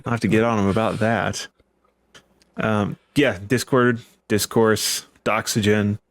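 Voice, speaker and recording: A man's voice: fairly low pitch, level tone. A man hosting a casual chat show, talking in an easygoing, jokey way. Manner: easygoing, jokey, quick to chuckle. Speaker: podcast host.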